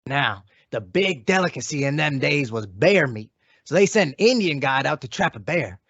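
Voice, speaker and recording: A man's voice: on the high side, very animated, moderate pace, fairly bright tone, dry recording, lo-fi sound, heavily acted. A man playing a slangy, self-important freeloading boyfriend who bluffs and stalls, in an old-time radio comedy. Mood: pompous.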